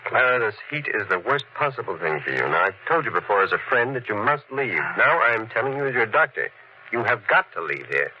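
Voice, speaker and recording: A man's voice: mid-range voice, big pitch swings, brisk, lightly acted. A man playing a rational, insistent doctor in 1940s radio drama style, emphatic and reasoning. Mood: urgent concern. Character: firm, rational male doctor urging sense. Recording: muffled, faintly noisy vintage radio.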